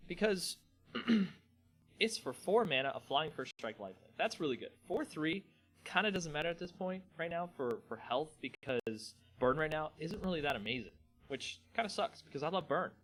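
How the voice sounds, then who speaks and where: A man's voice: on the high side, expressive, fairly bright tone. Casual male streamer with music behind. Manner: halting, rambling, slangy. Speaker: host of a card game channel. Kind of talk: casual live stream chat, then dramatic story reading.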